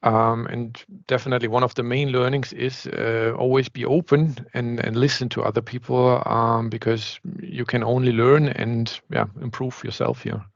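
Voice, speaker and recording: A man from Germany co-hosting a podcast, measured and full of fillers like um and like. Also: mid-range voice, little pitch movement, gravelly, no room sound, muffled recording. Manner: measured, filler-heavy, uses like and um. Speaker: podcast co-host. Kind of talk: casual panel discussion.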